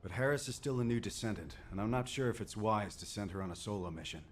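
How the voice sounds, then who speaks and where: A man plays a steady male commander in a video game, measured and serious, with a lowish voice. A faint ambient hum runs under him. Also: natural voice.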